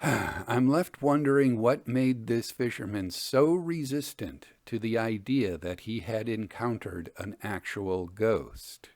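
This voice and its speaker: A man hosting and reading old ghost tales aloud in a measured, deliberate way. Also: on the low side, darkish tone. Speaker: host and reader of a ghost story podcast.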